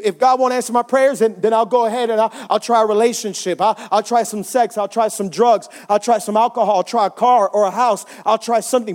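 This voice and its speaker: A man's voice: very high-pitched, a little mellow. A male pastor preaching a sermon in a conversational way, often checking in with the congregation for responses. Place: church service.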